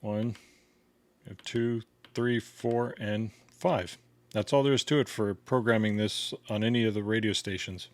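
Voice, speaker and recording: Low-voiced man giving a hands-on demo. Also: steady tone, unhurried, dry recording. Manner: plain, step-by-step instructional. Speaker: product reviewer demonstrating a gadget. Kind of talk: casual hands-on tutorial.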